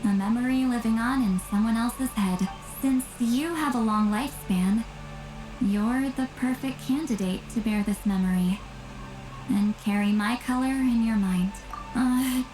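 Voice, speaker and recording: A woman's voice: fairly high pitch, measured pace, natural voice. A woman voicing an aloof, reclusive, youthful but centuries-old shaman in a video game, dry, reserved and wistful. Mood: solemn, tender. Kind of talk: scripted video game voice acting. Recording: roomy echo, game music behind.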